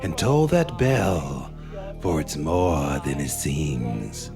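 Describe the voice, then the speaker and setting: Deep theatrical horror host sign-off. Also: sing-song, very slow, vocal fry. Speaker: channel host. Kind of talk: scripted sign-off. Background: music.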